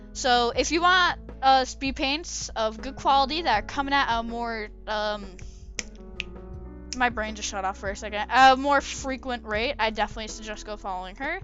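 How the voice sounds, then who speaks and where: High-voiced woman rambling over a speedpaint. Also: a bit bright, muffled recording. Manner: rambling, hesitant, self-correcting. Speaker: artist commenting on own drawing. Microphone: desk microphone.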